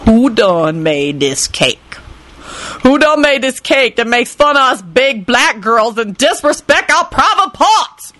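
An imposing, sassy woman, played by a woman reader, drawling each word out slowly and heavily. The menace builds in a low, deliberate delivery. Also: lively intonation, a bit bright, no echo. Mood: ominous. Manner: very slow, heavy, menacing.

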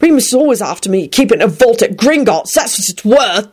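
Woman as angry young man, raised pitch, demanding, accusing, storybook character. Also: very animated, brisk, a bit bright. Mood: furious. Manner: demanding, indignant. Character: hot-headed, accusing, protective young man.

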